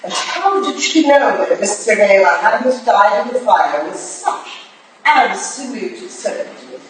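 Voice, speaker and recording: A woman's voice: mid-range voice, lively intonation, a little slow, lightly acted. Woman acting brusque Victorian lady detective, echoey hall. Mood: probing, suspicious. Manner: probing, curt. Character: stern, sharp-minded Victorian lady detective, brusque and relentless. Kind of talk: staged reading of a period stage play. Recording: distant, echoey hall recording with faint noise.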